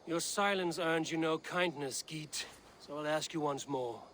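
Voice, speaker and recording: An actor playing a stern, resolute warrior leader in a video game, with an authoritative and deliberate delivery. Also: male voice, on the high side, fairly bright tone, slight background noise. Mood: cold authority. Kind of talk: dramatic video game acting.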